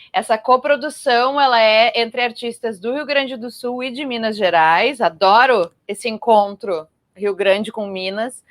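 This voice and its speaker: A woman with a Brazilian Portuguese accent, presenting and interviewing as host of a festival programme. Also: fairly high pitch.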